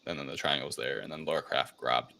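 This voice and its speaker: Male podcast co-host, low and forceful, casual halting recap style. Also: steady tone, vocal fry. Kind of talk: casual film review chat.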